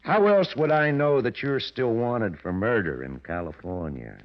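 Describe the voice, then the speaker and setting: An actor playing a scheming, ambitious blackmailer in a 1940s radio drama, with an insinuating, self-assured manner. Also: male voice, on the low side, lively intonation, unhurried. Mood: smug, threatening. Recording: muffled vintage radio recording.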